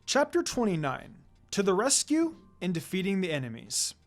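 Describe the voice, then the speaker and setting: Animated, higher-pitched male story narrator. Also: normal speed, faint music behind. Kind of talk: dramatic story reading.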